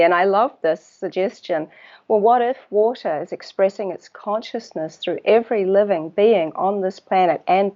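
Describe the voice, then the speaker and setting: A woman with a New Zealand accent, a water researcher speaking as a guest in a casual interview, thoughtful and unhurried, often pausing to search for words. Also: mid-range voice, darkish tone, muffled recording.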